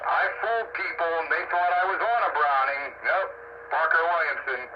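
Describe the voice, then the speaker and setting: Male amateur radio operator, rambling and folksy, fairly high pitch, heard through a muffled two-way signal. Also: moderate pace. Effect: AM two-way radio transmission. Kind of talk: casual on-air radio chat. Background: clear radio static and hiss.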